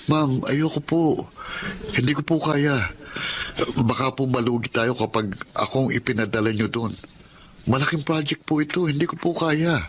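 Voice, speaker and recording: A man's voice: mid-range voice, moderate pace, slight background noise, lightly acted. An actor playing a meek, self-doubting Filipino man of twenty-five in a radio drama, speaking hesitantly and apologetically. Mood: anxious refusal. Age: young adult. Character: meek, self-doubting 25-year-old son.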